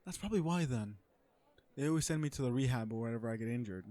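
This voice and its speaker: A man's voice: medium pitch, animated, quick pace, warm tone, lightly acted. A man playing a self-assured, flirtatious businessman supervillain in a romantic comedy audio roleplay, talking to the listener in a sardonic, conversational way. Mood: flirty, relaxed. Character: cocky, flirtatious businessman supervillain on a blind date.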